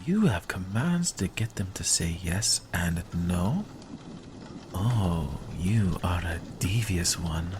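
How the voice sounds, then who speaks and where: A man's voice: low voice, lively intonation, takes it slowly, background noise. A man playing a possessive, dry-witted vampire in an ASMR roleplay, with a sardonic, commanding manner. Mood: wry, relenting. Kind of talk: ASMR roleplay audio drama.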